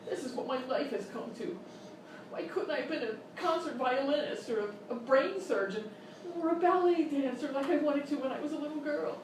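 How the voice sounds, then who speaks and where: A woman's voice: high-pitched, a little fast, lightly acted. A woman playing an exasperated, bitter game show host, ranting theatrically and contemptuously in live radio-style sketch comedy. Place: live stage performance in a hotel room. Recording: very echoey room, distant mic, noisy.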